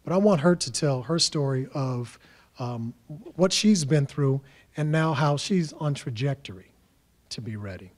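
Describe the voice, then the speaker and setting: A man's voice: medium pitch, lively intonation, measured pace, darkish tone. A man who coaches and mentors students, giving an emphatic motivational talk with punchy repetition.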